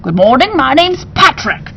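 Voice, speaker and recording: A chirpy little male parrot as a woman reader plays him, polite and easily excited. The voice sits high and exclaims brightly in storybook fashion. Faint rumbling noise and a slightly dull recording surround it. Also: moderate pace, gravelly, exaggerated voice. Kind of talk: storybook read-aloud.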